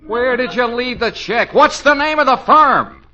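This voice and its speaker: A harried American boss in vintage radio comedy. His bright, forceful voice leaps up and down as he stammers and turns sarcastic, on a dull old recording. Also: extremely high pitch, expressive, measured pace, full character voice. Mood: exasperated, sarcastic. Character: exasperated, flustered businessman boss with sarcastic bite. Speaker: actor in a radio comedy drama.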